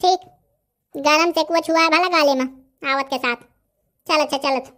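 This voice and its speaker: Squeaky, pompous cartoon holy man. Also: steady tone, moderate pace, pushed and bright. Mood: bossy, smug. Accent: Bundelkhandi. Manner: pompous, instructing, brusque. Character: bossy, sly village holy man in a comedy cartoon. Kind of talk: rustic comedy cartoon dialogue.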